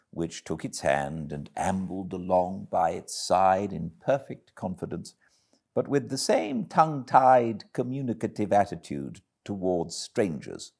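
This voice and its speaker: A man's voice: low-pitched, vocal fry, darkish tone. A man with a British accent narrating a classic story expressively, as an audiobook reader does. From Britain.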